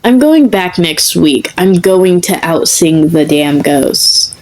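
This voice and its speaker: A woman voicing a hot-headed, competitive young man in a story, gruff and defiant. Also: lowish voice, animated, slow, a little mellow, poor audio.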